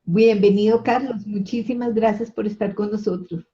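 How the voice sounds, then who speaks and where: A woman's voice: medium pitch, fairly even intonation, moderate pace, mellow. A woman, speaking as a host introducing a guest. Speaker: host, interviewer. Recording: dull, muffled lo-fi audio.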